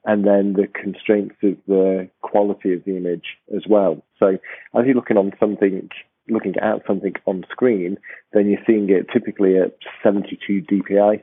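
A man hosting a podcast, with a croaky voice and a rambling, restarting way of talking. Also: low voice. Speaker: podcast host. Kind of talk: casual podcast chat. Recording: tinny phone-like line.

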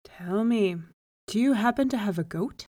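A woman voicing a wise male village rabbi in a storybook, speaking calmly and deliberately with firm authority. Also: medium pitch, lightly acted. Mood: calm instructing. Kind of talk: storybook read-aloud character.

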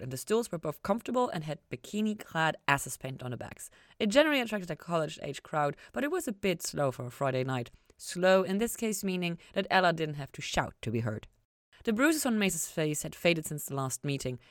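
Woman, expressive story narrator, lively pitch swings, dry close sound. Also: brisk, dry recording. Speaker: reader of a fan fiction podcast.

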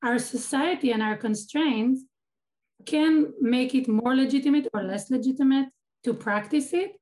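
A woman's voice: high voice, moderate pace, darkish tone. A woman from Israel whose first language is Hebrew, an academic and activist giving thoughtful interview answers and often restarting her sentences.

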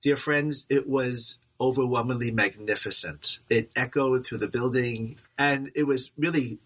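Priest guy on a podcast, husky but bright voice, calm pastoral chat. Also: mid-range voice, a little slow. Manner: warm, reflective, pastoral. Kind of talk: casual conversation.

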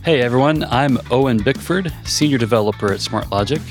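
A man's voice: mid-range voice, brisk, faint music behind. A man co-hosting a podcast, moving between scripted introductions and casual interview questions. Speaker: podcast co-host, senior developer.

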